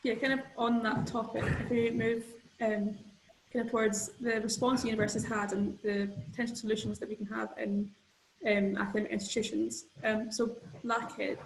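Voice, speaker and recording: A woman moderating a panel discussion, asking questions in a hesitant way with many pauses. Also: fairly high pitch, little pitch movement, gravelly, echoey room, slight background noise, low-quality recording. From Scotland.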